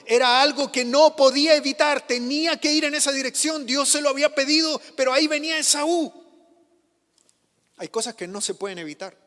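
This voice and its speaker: High-voiced pastor preaching in a hall. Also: male voice, brisk, fairly bright tone. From Chile. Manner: passionate preaching, rising on questions and dropping low for emphasis. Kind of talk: sermon. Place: church.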